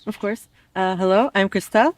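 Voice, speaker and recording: A woman's voice: medium pitch, normal speed, dry recording. A woman in her twenties who grew up in Dubai, answering questions in a casual, conversational way as an interview guest. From American who grew up in Dubai. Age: 20s. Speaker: interview guest, master's student.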